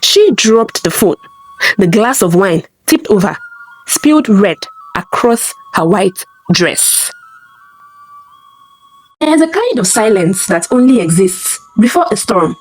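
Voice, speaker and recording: A woman's voice: medium pitch, sing-song, takes it slowly, faint music behind, rough recording. A woman telling a scripted dramatic story as narrator, theatrical and suspenseful, in short punchy phrases.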